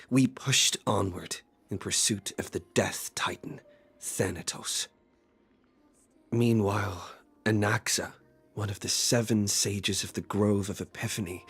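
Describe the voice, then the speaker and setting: Slow, low-voiced solemn fantasy storyteller. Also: male voice, fairly bright tone, breathy edge, heavily acted. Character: adult male protagonist solemnly recounting his epic fantasy journey. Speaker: story narrator. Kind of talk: scripted game story recap narration.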